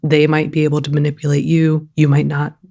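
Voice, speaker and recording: Gravelly-voiced enthusiastic woman critic. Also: low voice, monotone, brisk, dark tone. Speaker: film critic panelist. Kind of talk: casual discussion.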